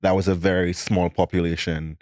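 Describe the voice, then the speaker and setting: Deep-voiced man hosting, thoughtful and halting. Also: level tone, a little mellow, no echo, clean studio sound. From Saint Lucia. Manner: thoughtful, halting with repeated restarts. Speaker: podcast host. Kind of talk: casual chat.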